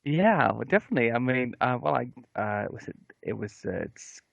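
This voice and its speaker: A man's voice: mid-range voice, very animated, moderate pace, gravelly, dry recording. A man in his thirties hosting a podcast interview from home on a headset mic, talking casually with many ums and restarts. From Wales. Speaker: podcast host. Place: home room with bookshelves. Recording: dry, slightly dull headset audio.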